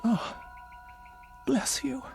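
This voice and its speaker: An actress playing an anxious, insistent elderly woman in a radio drama, speaking in a fretful, halting way. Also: darkish tone, faint music behind. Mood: pleading. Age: older.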